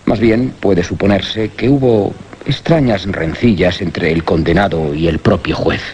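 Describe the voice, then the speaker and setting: Deep-voiced obsessed man. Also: measured pace, darkish tone. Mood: fervent, determined. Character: obsessed, excited man bent on righting an injustice. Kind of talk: radio drama acting. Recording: dull audio with faint noise.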